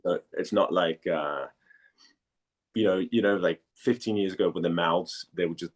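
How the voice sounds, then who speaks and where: Very deep-voiced man, halting delivery. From Denmark. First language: Danish. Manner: halting, searching for words, repeats phrases. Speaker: interview guest, voice actor. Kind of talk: casual interview chat.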